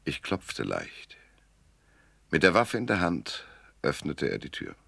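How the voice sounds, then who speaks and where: An actor playing a world-weary, laconic private detective who narrates in a dry, sardonic, gravelly way in a German noir radio drama. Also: male voice, a bass voice, huge pitch swings, slow, a bit bright, no echo, heavily acted. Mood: brooding, measured.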